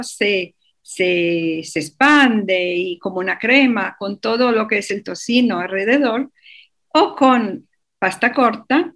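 Slow-spoken woman explaining on radio. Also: medium pitch, fairly bright tone. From Europe. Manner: hesitant, many fillers and restarts. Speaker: interview guest. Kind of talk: radio interview, casual explaining.

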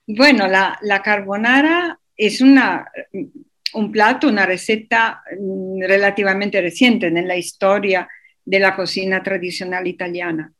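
A woman's voice: mid-range voice, steady tone, measured pace, a bit bright. A woman guest on a radio interview, explaining at length with many hesitations and restarts. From Europe.

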